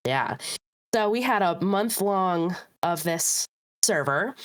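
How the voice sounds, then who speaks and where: A woman co-hosting a casual podcast, lower-pitched than most women. Her voice is slightly hoarse from being sick, but she stays chatty and upbeat. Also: animated, slow. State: slightly rough, hoarse voice from recent illness. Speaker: podcast co-host. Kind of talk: casual chat.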